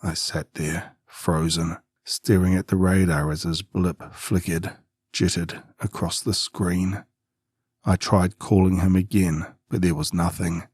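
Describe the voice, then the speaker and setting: A man narrating a scripted first-person horror story in a grave, measured, suspenseful manner. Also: deep voice, a little mellow, slightly rough, no room sound.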